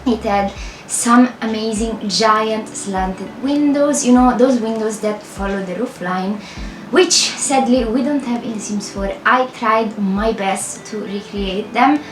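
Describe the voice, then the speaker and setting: A woman's voice: on the high side, slow pace, reverberant, slight background noise, rough recording. A young woman from Italy with an Italian accent, chatting through a rambling, self-correcting voiceover for her game build videos. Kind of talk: casual voiceover over gameplay.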